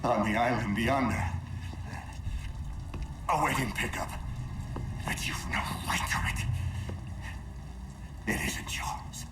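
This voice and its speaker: An actor playing a bitter, dying traitor in a video game, weak and breathy, halting and sardonic. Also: male voice, mid-range voice, roomy sound, far from the mic, heavy background noise, lightly acted. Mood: weakly defiant. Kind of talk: dramatic video game acting.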